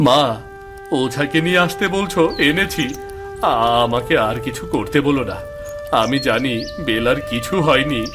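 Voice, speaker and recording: Cartoon village husband, voiced by a man, mid pitch, gentle, devoted, earnest, plaintive. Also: a little slow, over music, lightly acted. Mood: troubled. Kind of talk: animated cartoon voice acting.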